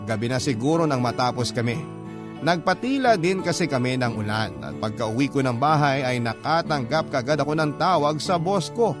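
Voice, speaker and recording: A man reading a first-person letter as a radio storyteller, in measured short phrases. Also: mid-range voice, animated. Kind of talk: first-person scripted letter narration, classic radio style. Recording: clear guitar music bed underneath. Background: guitar music.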